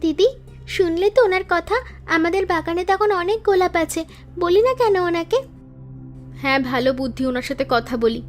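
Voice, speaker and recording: A woman's voice: very high voice, brisk, faint music behind. A woman voicing earnest, hopeful young flower-selling sisters in a moral-story cartoon, speaking politely.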